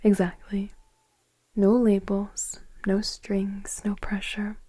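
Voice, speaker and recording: A woman playing a gentle, flirtatious woman in her forties in an intimate ASMR romance roleplay, speaking softly and unhurriedly in a breathy near-whisper. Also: medium pitch, completely flat delivery. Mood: flirtatious, hesitant. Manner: soft, tender, hesitant, unhurried flirting.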